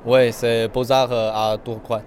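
A young man with a Chinese accent, an artist answering interview questions in careful, halting French full of pauses and fillers. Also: medium pitch, flat delivery, a little mellow, a little hiss or hum. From China.

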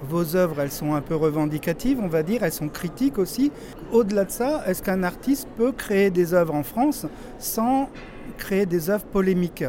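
A male interviewer asking long, measured questions with occasional fillers. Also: high voice, animated, mellow, slight background noise.

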